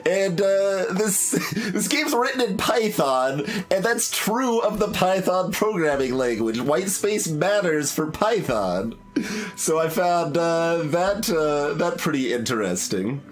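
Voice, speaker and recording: A man's voice: on the high side, big pitch swings, slow. A man streaming a game playthrough, talking casually to viewers in a hesitant, rambling way as he thinks aloud.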